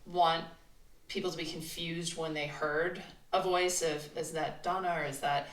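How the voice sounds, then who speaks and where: Woman guest telling stories casually, animated, roomy sound, kinda distant. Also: low-pitched, measured pace. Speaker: voice actress, interview guest. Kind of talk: casual chat. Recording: echoey room, distant from mic.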